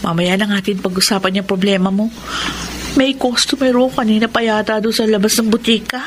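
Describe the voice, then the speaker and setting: Levelheaded coworker, female actor, radio drama: mid pitch, chiding friend, noisy recording. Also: steady tone, fairly quick, natural voice. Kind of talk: Filipino radio drama acting.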